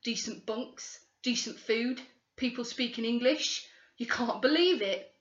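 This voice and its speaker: A woman's voice: a little high, a little slow, close to an everyday voice. A woman voicing a weary, feverish male detective who talks wistfully. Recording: roomy, slightly dull recording.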